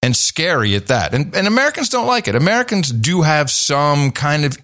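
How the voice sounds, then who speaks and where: Joking podcast man, lively pitch. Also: no room sound, clean studio sound. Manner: joking, laughs often, asks quick questions. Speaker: podcast co-host. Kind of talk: casual chat.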